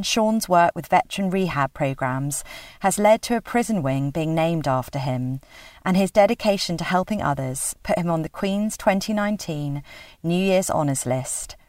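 Low-voiced woman host reading an intro. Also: expressive, a little mellow, no echo, far from the mic. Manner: measured, formal reading of introductions. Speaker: podcast host. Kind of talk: scripted intro, then interview questions.

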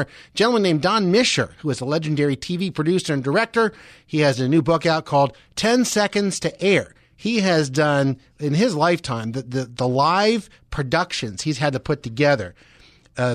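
A man's voice: fairly high pitch, lively intonation, fairly quick, dry recording, studio quality. A man hosting a radio show, giving sports and weather updates in a conversational way. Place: radio studio.

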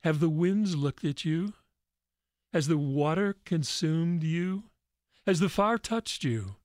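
A man plays the divine Father speaking from within in a religious tale. He is solemn and measured, commanding yet reassuring, in a slightly high male voice with a very dry sound. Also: animated, a little mellow, no room sound. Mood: calm, questioning. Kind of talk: dramatic religious story reading.

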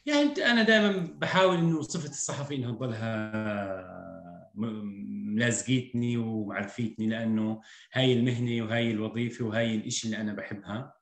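A man in his thirties with a rural Palestinian accent, talking frankly as a podcast guest, reflective and quick to laugh. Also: medium pitch. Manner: candid, reflective, laughs easily. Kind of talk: casual podcast conversation. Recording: echoey room with faint music.

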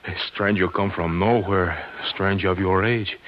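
An actor playing a loyal, anxious elderly Mexican servant with a Spanish accent and halting, deferential delivery in the style of 1950s radio drama. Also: male voice, low-pitched, fairly even intonation, lightly acted. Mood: anxious, pleading. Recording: noisy, muffled old 1950s radio recording.

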